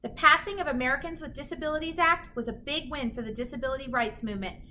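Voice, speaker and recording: A woman reading a children's picture book aloud in an animated, emphatic storytelling manner. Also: high voice, fast talker, slight background noise. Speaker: children's story reader, teacher.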